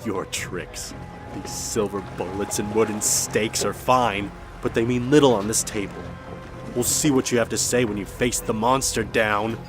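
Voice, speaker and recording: Male actor: blunt, hot-headed posse leader for radio drama, lowish register, over a heavy score. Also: fairly even intonation, moderate pace, natural voice. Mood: brash, determined. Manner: blunt, commanding. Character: brash, impatient male monster-hunting ringleader. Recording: loud music bed.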